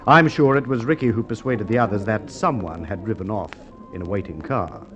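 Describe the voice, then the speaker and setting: An actor playing a shrewd, formal police inspector in a Golden Age radio drama, questioning in a deliberate, coolly probing way. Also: male voice, lowish voice, a bit bright, faint music behind, natural voice. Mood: accusing, assured. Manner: measured, deliberate questioning with hesitations. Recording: muffled vintage radio drama recording.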